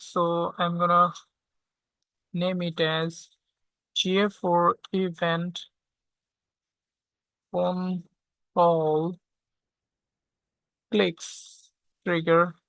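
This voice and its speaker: Slow, flat-toned tutorial instructor with slight creak. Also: medium pitch, no room sound, low-quality recording. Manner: checks understanding with frequent "okay?". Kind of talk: step-by-step software tutorial.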